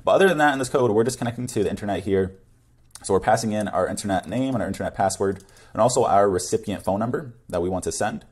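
Young adult man, lowish pitch, very quick pace, casual tutorial presenter. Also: darkish tone, breathy edge. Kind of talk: casual screencast coding tutorial.

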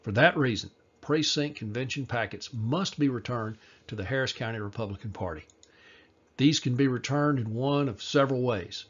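A man reading a scripted informational voice-over, measured and explanatory, slowing down for key details. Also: mid-range voice, slightly rough, highs cut off. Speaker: party presenter.

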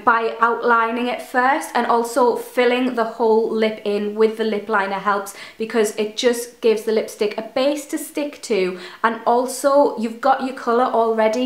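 A young woman with a fairly high voice talks straight to camera about makeup, chatty and gushing with enthusiasm. A cold leaves her a little nasal. The echoey bedroom gives her voice some ring. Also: steady tone, normal speed, hardly any pauses. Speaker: beauty vlogger. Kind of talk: casual product review to camera. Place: bedroom, indoors. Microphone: camera in front at close range.

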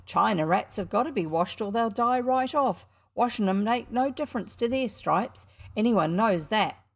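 A scornful, cocky schoolboy barking at his audience, his voice pitched high and swinging up and down, put on by a woman reading aloud. He stays loud and indignant, full of showman's bluster. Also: animated, normal speed. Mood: boastful.

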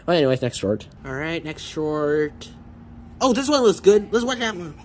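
Teen boy, reaction host, excitable and exclaiming, lively swooping pitch, phone mic on an outdoor patio. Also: normal speed, a little hiss or hum. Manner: excitable, exclaiming, stumbling restarts. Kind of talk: casual reaction commentary. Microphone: phone camera pointed at a laptop.

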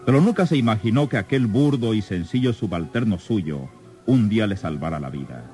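A man's voice: lowish voice, lively intonation, brisk, dark tone, faint music behind. A man with a Mexican accent giving scripted dramatic narration for a radio serial.

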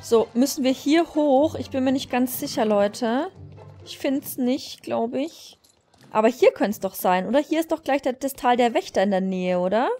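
Female let's play host: higher voice, very animated delivery, chatty and excitable. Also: moderate pace, faint music behind. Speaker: gaming channel host. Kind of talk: let's play commentary, reading game dialogue aloud.

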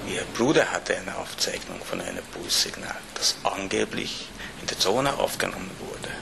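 An actor playing a grave, secretive older Russian former space official in a radio drama, halting and ominous. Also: male voice, low-pitched, wildly swooping pitch, creaky, heavily acted. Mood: grave, guarded. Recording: noisy ambience behind the voice.

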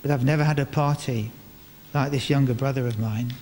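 An older man giving a sermon in a large church, speaking into a stand microphone in measured phrases with deliberate pauses. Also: mid-range voice, steady tone, a little mellow. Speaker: preacher. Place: large stone church, indoors, before a congregation. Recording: reverberant church hall, clear background noise.

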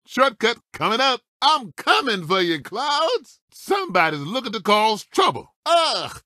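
Feisty, high-pitched old race car. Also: male voice, bright, forceful tone, no room sound, clean studio sound, full character voice. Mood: cocky, playful. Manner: folksy, cocky, exclamatory one-liners. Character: feisty old talking race car, folksy veteran racer. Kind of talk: animated video game character voice clips.

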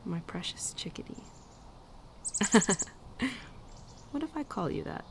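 A woman playing a gentle, teasing winter spirit in a girlfriend ASMR roleplay, speaking softly and tenderly, often dropping to a near-whisper. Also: mid-range voice, sing-song, slow, a little hiss or hum. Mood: playful, teasing. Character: gentle, teasing female winter spirit, affectionate old friend. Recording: birdsong and outdoor ambience behind.

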